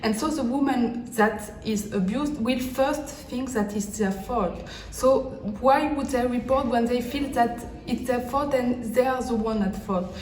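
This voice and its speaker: A woman's voice: a little high, a little slow, hardly any pauses, darkish tone. A woman from France, giving her views in an interview. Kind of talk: interview answers. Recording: distant, echoey, faint background noise.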